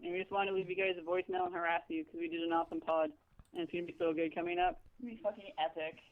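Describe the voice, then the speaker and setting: A woman from Canada leaving a casual voicemail, played back in dull, low-fidelity sound. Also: fairly even intonation, racing. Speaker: voicemail caller.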